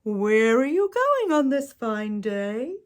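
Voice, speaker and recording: A woman voicing a sly, smooth-talking male wolf in a storybook read-aloud, coaxing and falsely sweet. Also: fairly high pitch, sing-song, takes it slowly, pitched above the performer's own voice.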